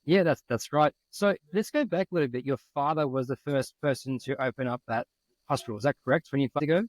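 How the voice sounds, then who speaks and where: A man's voice: medium pitch, quick pace, no echo. A man hosting a podcast, interviewing casually with an informal, teasing way of talking. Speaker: podcast host.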